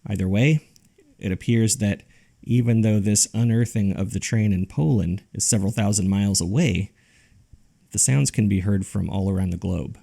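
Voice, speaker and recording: A man playing an earnest paranormal podcast host, narrating in a serious, measured and deliberate way. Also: on the low side, gravelly, mellow. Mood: uneasy, intrigued. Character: earnest male paranormal podcast host reading a listener's ominous letter. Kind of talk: scripted narration, horror fiction podcast. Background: music.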